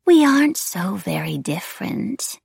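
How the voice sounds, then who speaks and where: A woman voicing a sinister, demented female wraith who taunts in a breathy, whispering way with sing-song repetition. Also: medium pitch, sing-song, a little slow, darkish tone, dry recording, voice pushed up, exaggerated voice. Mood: taunting.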